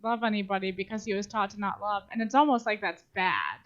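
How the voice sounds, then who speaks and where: A woman's voice: medium pitch, fairly quick, a bit bright, highs cut off. A woman co-hosting a podcast in casual banter, jokey, informal and free with swearing. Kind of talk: casual banter about a story.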